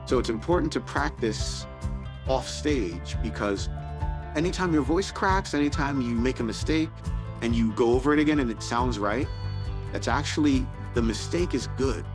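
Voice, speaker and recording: A man who sings for a living, calmly explaining how he sings and how he cares for his voice in an interview. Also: mid-range voice, normal speed. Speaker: professional singer. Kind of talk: interview talk. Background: music bed.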